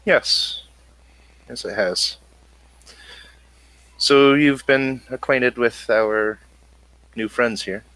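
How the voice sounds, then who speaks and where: A man voicing a calm, formal android who speaks in deliberate phrases in a tabletop game. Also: medium pitch, no room sound, pitched above the performer's own voice, low-quality recording. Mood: gentle, hesitant. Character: measured, formal male android advising calmly.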